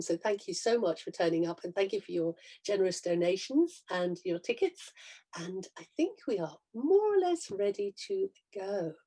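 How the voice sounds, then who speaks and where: A woman gives an informal, encouraging welcome on a video call. Her voice is relaxed and slightly husky, and she talks in a chatty way as the host of an amateur event. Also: mid-range voice. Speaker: director and announcer of amateur reading. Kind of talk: informal welcome to online audience.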